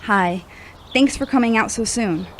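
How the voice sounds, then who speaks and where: A woman's voice: on the high side, unhurried, a little hiss or hum, lightly acted. A woman playing a troubled, earnest young woman in an audio drama, speaking confessionally. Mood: guarded. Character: troubled young woman opening up about past grief. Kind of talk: amateur audio drama acting.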